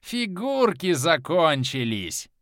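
Mad male god, shrill, shouting, wildly swinging pitch, manic. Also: big pitch swings, takes it slowly, no echo, studio quality, heavily acted. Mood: gloating. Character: mad cackling male deity, gleeful and childish.

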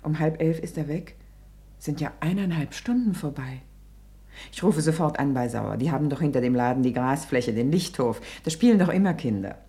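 An actress in a German radio play playing an anxious mother searching for her missing small son, tense and hurried, with strained politeness. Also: sits low, expressive, dark tone. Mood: agitated.

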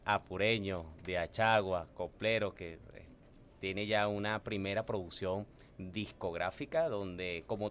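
A man from Guárico, Venezuela, with a llanero accent, interviewing guests in a radio studio booth. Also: lowish voice.

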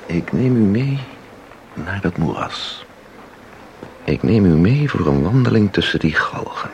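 A man's voice: low-pitched, sing-song, measured pace, creaky, slight background noise, exaggerated voice. An actor playing an intense, mysterious man in a radio drama, speaking with insistent, theatrical deliberation. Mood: persuasive. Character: intense, mysterious man who claims to enter paintings. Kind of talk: Dutch radio drama acting.

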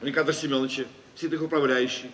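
An actor playing a chatty, flippant gentleman crony with an excitable, gossipy, theatrical 1950s radio manner. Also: mid-range voice, rapid-fire, natural voice. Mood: eager. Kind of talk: 1950s radio play stage acting. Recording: distant old radio recording in hall.

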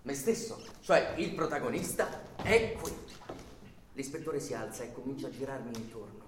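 An actor playing an anxious, over-polite bank clerk on trial in an Italian radio drama, agitated, insistent and deferential. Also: male voice, medium pitch, expressive, normal speed, background noise, close to an everyday voice. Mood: excited, explaining. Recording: roomy, distant stage sound with music.

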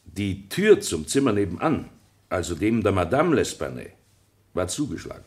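A deep, animated voice for a subordinate police sergeant. He reports carefully and deferentially in a radio drama. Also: normal speed. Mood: factual. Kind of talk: German radio play acting.

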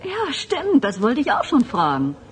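A woman's voice: on the high side, huge pitch swings, unhurried, a little hiss or hum. An actress playing a direct, questioning woman in a radio drama. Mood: puzzled.

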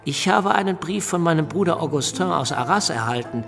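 A man playing a reserved, earnest revolutionary deputy in a radio play, quiet, measured and creaky. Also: on the high side, animated. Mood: earnest, subdued. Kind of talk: radio drama acting. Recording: long hall reverb, music underneath.

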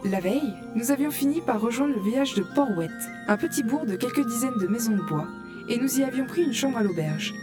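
A woman's voice: on the high side, expressive, brisk, music in the background, lightly acted. A woman playing a curious young gamer who narrates her virtual-world adventure in a composed way for an audio drama. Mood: calm narrating.

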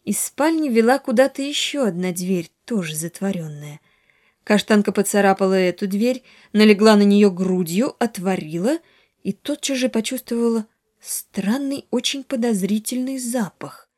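A woman storyteller giving an expressive, dramatic narration of a children's story, with deliberate pauses. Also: medium pitch.

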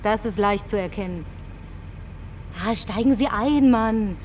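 An actress playing a brash, lonely survivor in a German science-fiction radio play, speaking in a brisk, sardonic, bossy way. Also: female voice, mid-range voice, recorded from a distance, slight background noise, lightly acted. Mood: dry, sardonic. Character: brash, lonely woman, last woman in deserted New York.

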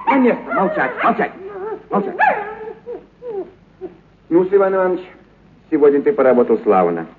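An actor playing a kindly, eccentric animal trainer who exclaims, coaxes and repeats commands in a theatrical radio play. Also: male voice, a little high, huge pitch swings, moderate pace, a crowd in the background. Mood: excited, commanding. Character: kindly eccentric animal trainer, bossy yet affectionate with his beasts. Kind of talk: theatrical radio story acting. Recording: muffled narrow-band radio recording.

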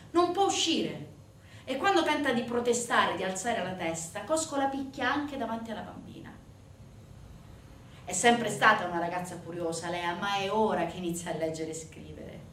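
A woman's voice: medium pitch, a bit bright. A woman stage actress delivering dramatic scripted narration with emphatic, swinging storytelling. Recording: roomy, distant stage recording with faint noise.

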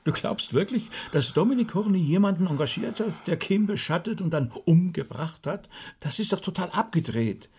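An actor playing a blunt, sceptical rabbi in a radio drama, with a husky voice. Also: male voice, high-pitched, lively intonation, normal speed, a little mellow. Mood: exasperated, reproachful.

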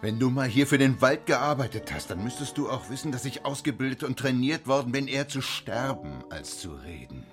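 A man's voice: medium pitch, lively intonation, fairly quick, hardly any pauses, faint music behind, natural voice. A man playing a hard, defiant Soviet secret-service officer under threat in a radio drama, answering in clipped, grudging phrases. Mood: cold defiance.

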